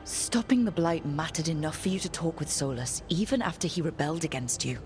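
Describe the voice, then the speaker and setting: Earnest heroine, female, very low-pitched, resolute, plain-spoken, fantasy game, music behind. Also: expressive, fairly quick. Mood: resolute, pleading. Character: earnest, determined young heroine, leader of companions. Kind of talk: fantasy video game dialogue.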